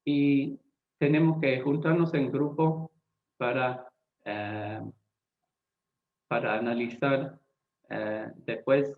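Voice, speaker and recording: A man who is not a native Spanish speaker, a Bible translator giving a lecture-style talk, deliberate and often pausing to search for words. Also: medium pitch. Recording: echoey, tinny phone-like sound.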